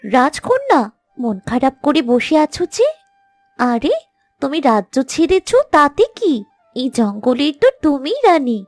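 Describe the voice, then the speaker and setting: A performer voicing a small, loyal talking animal who coaxes a princess earnestly in an animated fairy tale. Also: sits high, measured pace, pauses often, faint music behind, exaggerated voice. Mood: consoling.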